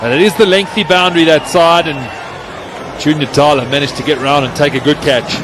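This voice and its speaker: A man giving live cricket commentary, rising to excited shouts on close chances. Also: a little high, fairly quick, crowd noise. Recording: noisy stadium broadcast.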